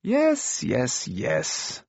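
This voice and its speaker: An elderly wizard of a fantasy storybook, voiced by a male narrator, stern and impossible to ruffle. He dismisses people curtly, with pitch lifted above a plain reading voice. The recording is dry and slightly dull. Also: very animated, unhurried, no echo, full character voice. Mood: dismissive, stern. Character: old male enchanter, unperturbed, stern and dismissive.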